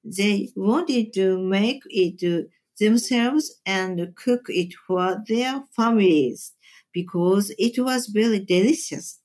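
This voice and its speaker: A woman speaking English with a Japanese accent, taking her time over each phrase. Her delivery is unhurried and deliberate, as if reading prepared answers in an interview. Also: mid-range voice, a little mellow. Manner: slow, deliberate, measured phrasing. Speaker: temple chef and cooking teacher. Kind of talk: interview answers, carefully read.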